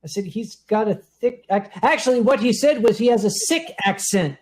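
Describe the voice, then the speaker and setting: A man on a live comedy panel telling a story in a dry, deadpan way. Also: high voice, moderate pace.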